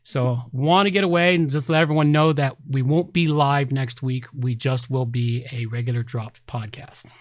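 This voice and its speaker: A man's voice: mid-range voice, fairly even intonation, normal speed, hardly any pauses, no echo, studio quality. A man hosting a podcast, talking casually and informally in a rambling way as he gives announcements. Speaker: podcast host.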